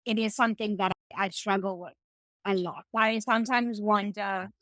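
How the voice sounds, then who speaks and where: Bright-voiced young South African woman. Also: medium pitch, moderate pace, no room sound, studio quality. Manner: animated, curious questioner. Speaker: podcast co-host. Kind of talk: casual chat.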